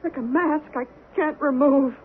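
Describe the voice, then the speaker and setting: Through a muffled, hissy vintage radio recording, a haunted middle-aged wife. Her high voice is theatrical and reproachful, weighted with years of bitterness. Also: unhurried. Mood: bitter reproach. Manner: dramatic, reproachful, hesitant. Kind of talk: old-time radio drama acting.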